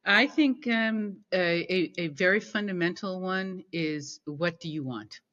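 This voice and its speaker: A woman guest in an interview, talking thoughtfully with many pauses and restarts. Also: fairly low pitch, a bit bright, highs cut off. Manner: thoughtful, halting with fillers and restarts. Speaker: podcast guest. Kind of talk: conversational interview.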